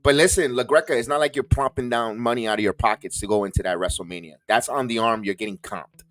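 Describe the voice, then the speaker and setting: A man's voice: mid-range voice, fast talker, a bit bright. A man hosting a wrestling podcast, ranting heatedly with many stumbling restarts and curses. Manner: fiery, stumbling repeats, swears. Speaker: podcast host.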